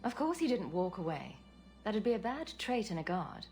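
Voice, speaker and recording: Sarcastic, lively young female rogue. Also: medium pitch, moderate pace, faint music behind. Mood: wry. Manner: wry, teasing. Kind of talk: video game voice acting.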